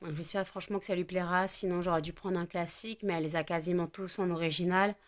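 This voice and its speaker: A woman's voice: fairly low pitch, fairly even intonation, fast talker. A woman, chatting casually in a home vlog, tired and a bit stuffy with a cold, rambling with many fillers and self-corrections. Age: 30s. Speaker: vlogger.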